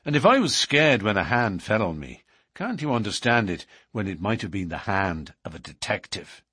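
A man's voice: on the low side, very animated, moderate pace, fairly bright tone, husky, no echo, studio quality, heavily acted. A man voicing a secretive, passionate young lover with a lilt, speaking earnestly and coaxingly in an audiobook. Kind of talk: audiobook character reading.

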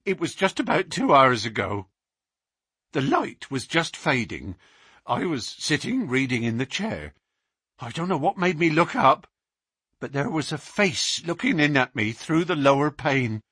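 A man's voice: sing-song, measured pace, husky, no room sound, clean studio sound, exaggerated voice. Man doing a shaken, frightened constable. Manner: breathless, frightened, rising high and loud. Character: terrified male police constable, shaken and nervous.

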